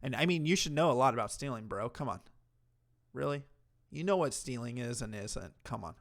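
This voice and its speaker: A man's voice: medium pitch, expressive, a little fast, vocal fry. A man hosting a livestream, reacting to a clip with mocking, profane commentary. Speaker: commentary streamer host.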